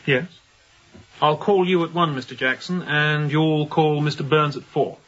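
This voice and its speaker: A man acting a young, introspective sea captain in a radio drama, speaking in a measured, reflective, self-doubting way. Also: on the high side, steady tone, a bit bright. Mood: quietly firm. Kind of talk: radio drama acting with hushed interior monologue. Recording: dull, lo-fi radio drama recording.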